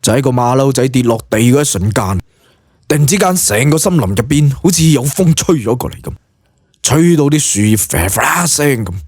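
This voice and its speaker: A man's voice: mid-range voice, lively intonation, normal speed, a little mellow, husky, no echo, studio quality. A man with a Cantonese accent narrating an audiobook story in a dramatic, colloquial storytelling style.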